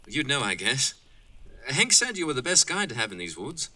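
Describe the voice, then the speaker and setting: A man's voice: mid-range voice, big pitch swings, moderate pace, bright, forceful tone, exaggerated voice. A man playing an earnest, talkative young college student camper, chatty but hesitant and musing, in an audio drama. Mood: chatty, curious.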